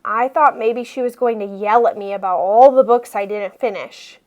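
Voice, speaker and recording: A woman reading a children's picture book aloud expressively, with deliberate phrasing for young listeners. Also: mid-range voice, lo-fi sound. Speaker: read-aloud storyteller.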